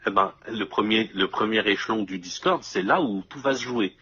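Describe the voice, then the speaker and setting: A man's voice: on the low side, normal speed, pushed and bright, slightly rough, highs cut off. A man in casual conversation, explaining things step by step and often checking that his listener follows.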